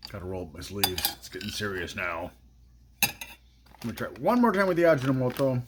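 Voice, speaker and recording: A middle-aged man reviewing food on his phone camera at home, talking in a dry, low-key musing way. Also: fairly quick, heavy background noise. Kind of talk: casual taste-test vlog. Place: small indoor room at home. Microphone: phone camera at arm's length.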